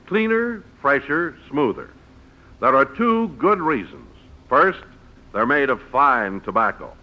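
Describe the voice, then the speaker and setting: 1950s radio ad guy, animated swooping delivery, muffled old broadcast sound. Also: medium pitch, slow. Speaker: announcer. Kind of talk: scripted 1950s radio advertising and announcing. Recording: dull old radio recording.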